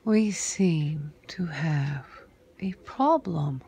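A woman playing a cold, sardonic vampire crime boss in an ASMR roleplay, breathy and husky, often sinking into a whisper with a deliberate, menacing drawl. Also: low-pitched, animated, dark tone, heavily acted. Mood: mock-sweet menace. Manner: slow, deliberate, menacing drawl with long pauses.

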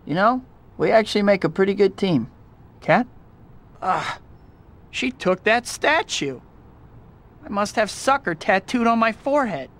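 An actor playing a young, cocky, good-natured superhero in a video game, quippy and sarcastic. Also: male voice, sits high, animated, unhurried, long pauses, exaggerated voice. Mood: wry, wisecracking. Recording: game audio with very loud effects noise.

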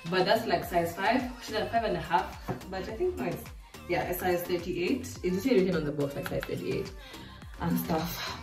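A nineteen-year-old woman from Zambia, chatting casually and excitedly through a clothing try-on haul in her living room. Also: on the low side, normal speed. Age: late teens. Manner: chatty, bubbly, lots of fillers. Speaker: vlogger. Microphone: camera mic from across the room. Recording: echoey room, distant mic, faint music.

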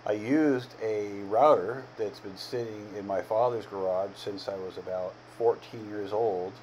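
A man in his fifties giving a casual, plain-spoken, unhurried voice-over as an amateur builder narrating his project. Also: fairly low pitch, a little mellow, a little hiss or hum, low-quality recording. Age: 50s.

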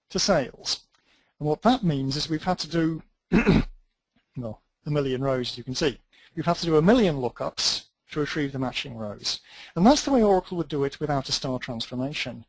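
A man's voice: on the high side, animated, normal speed, husky, no room sound, rough recording. A man teaching a database tutorial, thinking aloud as he types through a live demo with dry, self-deprecating asides. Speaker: database instructor.

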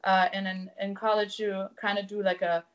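A woman's voice: medium pitch, level tone, moderate pace, muffled recording. A young woman with a German accent, a native German speaker from Germany, talking candidly and reflectively as a guest over a video call from home. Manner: open, reflective, candid storytelling. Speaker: musician guest. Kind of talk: casual interview chat. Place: indoors at home.

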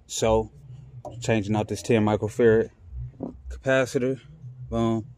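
A man's voice: fairly low pitch, level tone, unhurried, a little mellow. A man talking casually and in slang to viewers as a handyman explaining a home repair, recorded on a handheld phone indoors. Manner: colloquial slang, informal catchphrases. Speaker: handyman vlogger and HVAC technician. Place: indoors at home beside air-conditioning equipment. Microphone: handheld phone behind the camera. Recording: noisy handheld phone audio with clicks.

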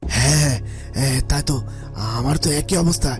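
A man's voice: fairly high pitch, normal speed, soft background music, natural voice. A man playing a cowardly bandit in a fairy-tale cartoon, stammering and repeating himself. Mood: fearful. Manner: stammering, pleading, repetitive.

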